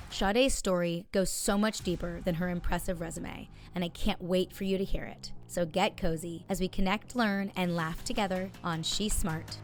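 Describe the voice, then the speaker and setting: A woman hosting a podcast, upbeat and encouraging, opening the show and guiding her guest with short affirmations. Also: on the low side. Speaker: podcast host. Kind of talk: podcast intro and interview.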